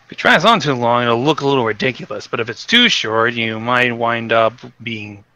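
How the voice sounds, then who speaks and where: Male podcast guest, crisp and bright, dry close sound, explanatory, opinionated, casually sweary. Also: medium pitch, normal speed, dry recording.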